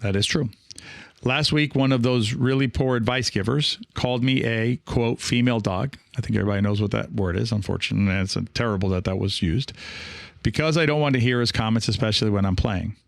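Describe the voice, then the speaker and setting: A man talking casually as a podcast host and coach, reflective and encouraging, halting now and then and often checking in with the listener. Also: medium pitch, creaky, no echo, clean studio sound. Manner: reflective, halting, with frequent rhetorical check-ins.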